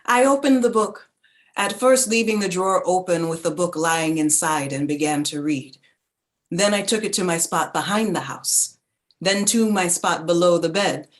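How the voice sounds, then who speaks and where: An actress playing a reflective woman narrator who recalls her childhood in a contemplative, deliberate literary reading. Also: lowish voice, lightly acted.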